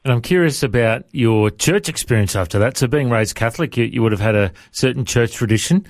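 A man's voice: mid-range voice, lively intonation, a little fast, no room sound. An Australian man hosting a podcast, asking easygoing, friendly questions in a casual interview. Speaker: podcast host.

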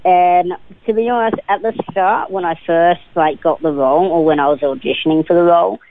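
A man's voice: high-pitched, steady tone, fairly quick, dry recording. Young New Zealand man on a phone line. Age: 20s. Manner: hesitant, many "um" fillers and restarts. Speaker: guest actor. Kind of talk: casual interview answers. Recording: tinny, dry phone line.

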